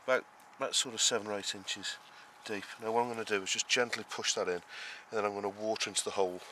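A man's voice: lowish voice, fairly even intonation, quick pace, pushed and bright. A man touring his vegetable allotment on camera, explaining his planting step by step in a rambling way, with lots of fillers and restarts. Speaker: allotment gardener, show host. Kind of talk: casual garden tour walkthrough. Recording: noisy outdoor camera audio. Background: outdoor rural ambience.

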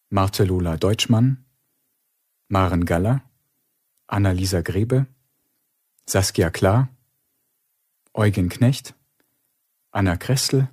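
Male announcer for scripted radio credits, lowish husky voice, unhurried pace. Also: darkish tone, no room sound, studio quality.

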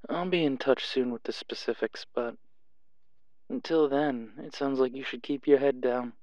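A man's voice: medium pitch, steady tone, normal speed, a little mellow, no echo, highs cut off, lightly acted. A man playing a suave, flirtatious underworld club owner in an audio roleplay, purring and teasing as he talks. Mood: smooth, assured.

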